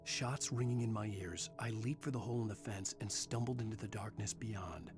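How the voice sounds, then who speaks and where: Casting a man to play a grim writer hero for thriller game narration, lower-voiced, measured, with prominent music behind. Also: natural voice. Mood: grim, tense. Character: troubled writer hero narrating his own dark thriller. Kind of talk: scripted first-person game narration.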